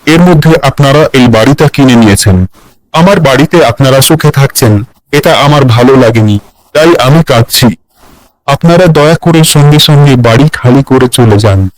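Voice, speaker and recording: A man's voice: mid-range voice, lively intonation, moderate pace, no echo, close to an everyday voice, low-quality recording. A man voicing a resentful, threatening, bloodthirsty male ghost in a cartoon fairy tale. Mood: aggrieved. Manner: threatening, demanding.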